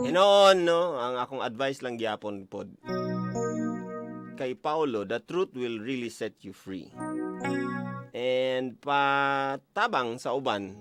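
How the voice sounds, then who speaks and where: Loud guitar music plays under a Cebuano Filipino man hosting late-night radio. He talks slowly in a mid-range voice that swings expressively, with a playful, teasing manner. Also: mid-range voice. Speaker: radio DJ and advice show host. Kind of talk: casual late-night radio chat and advice.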